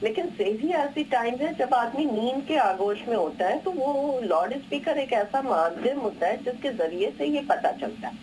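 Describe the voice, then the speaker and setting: Female TV panelist, fairly high voice, explanatory, drops in English words, faint noise behind her. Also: lively intonation, moderate pace, hardly any pauses, a little mellow, rough recording. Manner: mixes English words into speech. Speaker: debate panelist. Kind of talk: explanatory argument in a TV debate.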